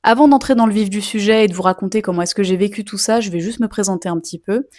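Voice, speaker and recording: A woman's voice: medium pitch, fast, darkish tone, dry recording, clean studio sound. A young woman, a mezzo-soprano student, telling a personal story to camera in a chatty way with lots of asides and fillers. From Sicilian heritage.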